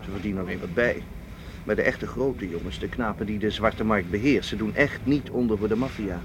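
A man's voice: on the low side, fairly quick, noisy background, natural voice. A man acting in a Dutch radio play as a seasoned, cautious and protective spy who explains things firmly. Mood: explaining. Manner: explanatory, firm.

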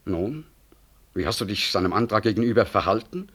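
An actor playing a smooth, self-important politician and doctor in a radio drama, evasive and pompous. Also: male voice, lowish voice, animated, a little fast, a bit bright. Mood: measured, evasive. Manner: hedging, rhetorical, pompous. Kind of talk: 1960s German radio drama acting.

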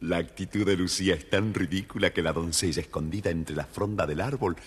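A man narrating a scripted children's story in an expressive, theatrical way, with a husky voice. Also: low-pitched, brisk. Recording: hall-like reverb, faint music behind.

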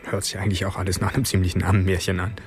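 A man's voice: low-pitched, flat delivery, very fast, soft background music, natural voice. An actor playing a sceptical, teasing but loyal young man in naturalistic radio drama dialogue. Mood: dry, teasing.

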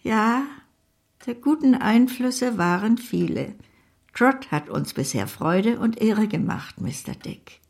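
Creaky, stately elderly great-aunt. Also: female voice, fairly high pitch, expressive, slow pace, heavily acted. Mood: proud, decided. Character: brisk, opinionated elderly English great-aunt, proud guardian. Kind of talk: German radio drama acting.

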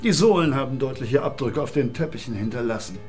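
A man's voice: mid-range voice, expressive, fast, a little mellow, natural voice. A man plays a diligent forensic policeman in a radio crime drama. His mid-range voice moves animatedly through precise reports, with faint music behind. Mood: eager, factual.

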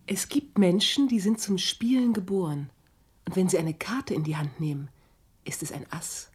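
A woman's voice: on the low side, normal speed, darkish tone, close to an everyday voice. An actress playing a brazen, blunt dancer, a gambler's girlfriend, in a theatrical German radio drama. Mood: hushed, fervent. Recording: studio radio play with music behind.

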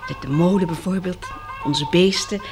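A woman acting in a radio play as a caring, anxious, motherly survivor, with an expressive, emotional delivery. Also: fairly low pitch, moderate pace, over music, close to an everyday voice. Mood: rueful, tender. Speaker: actress in a radio drama.

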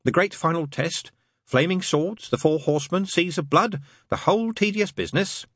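A man's voice: fairly high pitch, moderate pace, fairly bright tone, breathy edge, no room sound, studio quality, pitched above the performer's own voice. A man voicing a prim, fussy, genteel male angel with polite, old-fashioned, faintly pompous phrasing, in an audiobook story. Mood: weary, earnest.

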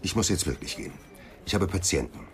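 An actor playing a vain, defensive older psychoanalyst under interrogation in a radio crime drama, testy and sardonic. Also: male voice, low voice, lively intonation, fast. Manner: testy, self-important, sardonic.

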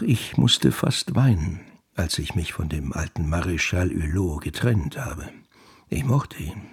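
A wry author narrating his own story in a radio play. The voice is low, creaky and husky, with a reflective, conversational delivery that sounds pleased with itself. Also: darkish tone. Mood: wistful. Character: wry, self-satisfied male novelist musing on his characters. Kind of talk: narrating in a German radio drama.

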